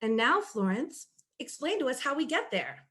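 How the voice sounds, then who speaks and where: A woman's voice: high voice, big pitch swings, normal speed, fairly bright tone. A woman co-presenting a prepared travel video podcast as a destination specialist.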